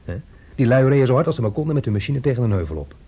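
Proud inventor, rapid and dark-toned, insistent, in a muffled seventies radio drama. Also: male voice, medium pitch, very animated, breathy edge. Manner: earnest, insistent explaining. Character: eager, proud male American inventor. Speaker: actor in a radio play. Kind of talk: 1970s Dutch radio drama acting. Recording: dull old radio play recording.

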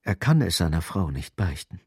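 A man's voice: low voice, very animated, moderate pace, darkish tone, slightly rough, dry recording, clean studio sound, full character voice. A man narrating a crime radio drama in a grave, detached, scripted style. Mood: sober, detached. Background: music.

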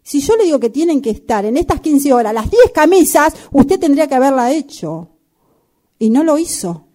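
Radio drama boss played by a woman: scornful, domineering, curt, fairly high voice with a soft dark tone. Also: sing-song, moderate pace. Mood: angry, stern. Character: bossy, scornful woman boss of a sewing workshop. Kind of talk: improvised radio drama workshop acting.

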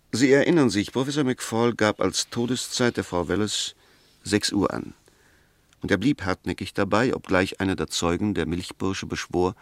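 An actor playing a shrewd, composed defence lawyer in a 1960s German radio play, arguing in a measured, precise manner. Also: male voice, sits low, no echo. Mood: methodical, sceptical.

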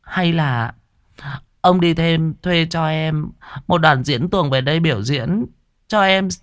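A man voicing a shrill, scheming middle-aged stepmother who screeches and wails. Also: fairly bright tone, dry recording, clean studio sound. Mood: wheedling. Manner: screeching, wailing, scolding.

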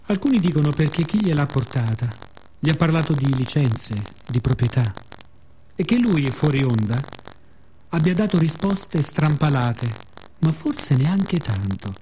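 Mellow-voiced man performing a dramatic monologue. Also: mid-range voice, slight background noise, rough recording. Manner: dramatic, deliberate phrasing with pauses and repetitions. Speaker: actor performing own monologue. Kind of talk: theatrical poetic radio monologue.

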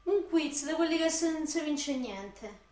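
High-voiced young Roman street woman. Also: expressive, measured pace, a little mellow. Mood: teasing, impatient. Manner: coarse slangy street talk. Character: volatile young working-class Roman woman, pregnant, foul-mouthed, dreamy. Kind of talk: radio drama acting with long monologue. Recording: echoey room with faint noise.

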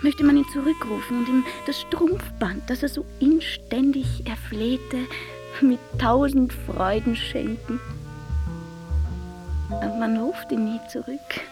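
Coquettish, capricious old-Vienna sweetheart played by an actress, theatrical delivery, slightly high pitch, unhurried, over music. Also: female voice, dark tone. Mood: wistful, confiding. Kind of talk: dramatic literary recitation over music.

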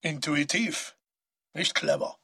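A male actor voicing the proud, insistent inner voice of a former actor in a radio drama, speaking in a deliberate, declamatory way. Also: a little high, vocal fry, bright, forceful tone, breathy edge, full character voice. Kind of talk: theatrical radio drama monologue.

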